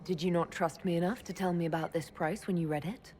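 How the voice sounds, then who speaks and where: A woman's voice: on the low side, fairly even intonation, fast talker, darkish tone, lightly acted. An actress playing a resolute female elven leader who speaks in an earnest, direct way. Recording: long hall reverb. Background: faint music.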